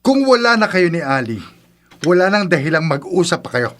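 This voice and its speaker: Mainiting bayaw, mataas ang boses. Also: male voice, on the high side, very animated, a little fast, fairly bright tone. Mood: suspicious, threatening. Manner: confrontational, emphatic. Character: hot-tempered, suspicious, threatening brother-in-law. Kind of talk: Filipino radio drama acting.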